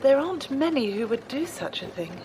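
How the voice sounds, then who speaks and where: A woman playing an earnest, gently chiding nun in an audio drama, composed and polite. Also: high voice, big pitch swings, a little fast, background noise. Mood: sincere, admiring. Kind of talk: scripted audio drama acting.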